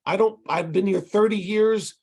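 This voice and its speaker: A middle-aged man with a Texas accent, giving a relaxed, conversational lecture over a video call with frequent ums and restarts. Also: high voice, normal speed, breathy edge. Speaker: ESL instructor presenting to tutors.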